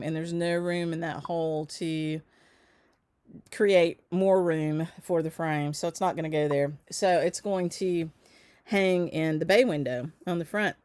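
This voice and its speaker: Woman host, lower voice, dry close mic; easy, rambling chat with viewers, lots of ums. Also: a little mellow, no room sound. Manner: chatty, greets viewers by name, frequent ums and laughs. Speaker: shop owner hosting a livestream. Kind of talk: casual livestream chat.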